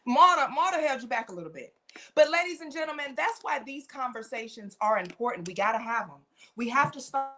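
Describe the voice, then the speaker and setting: A woman's voice: high-pitched, expressive, brisk, sharp tone, slight background noise. A woman hosting a talk show, speaking conversationally and emphatically, repeating phrases to drive her point home.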